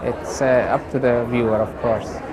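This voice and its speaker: A man's voice: mid-range voice, steady tone, moderate pace, rough recording. A man from Hungary with a Hungarian accent, a painter explaining his work hesitantly, with many fillers as he searches for words. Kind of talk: interview about his paintings. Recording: loud crowd at an event.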